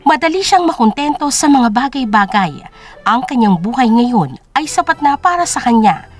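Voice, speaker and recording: A woman's voice: a little high, big pitch swings, normal speed, soft background music. A woman narrator reading a scripted story in a dramatic storytelling style.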